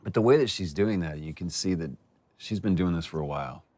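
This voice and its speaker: A man in a relaxed, appreciative commentary chat, speaking as an actor about his own work on set. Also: low voice, fairly quick, no echo.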